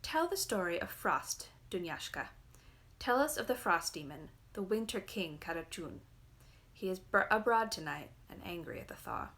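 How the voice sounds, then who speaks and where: A woman's voice: lowish voice, very animated, normal speed, a bit bright. A woman voicing a weary mother in a storybook, light in tone with a wry, commanding edge. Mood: insistent. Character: weary, thin mother, light-toned but dark underneath.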